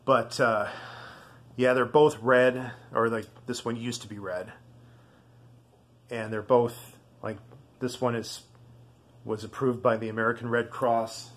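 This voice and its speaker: A man giving a casual product demonstration in a halting, rambling, easygoing way. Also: medium pitch, little pitch movement. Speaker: video host.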